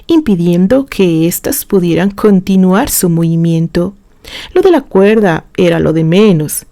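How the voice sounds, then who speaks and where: A woman narrates a romantic tale in a soft-edged, mellow voice. She takes her time, shaping phrases expressively with dramatic pauses. The sound is close and dry. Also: mid-range voice, dry recording. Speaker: story narrator. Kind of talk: dramatic scripted audiobook narration of a romantic story.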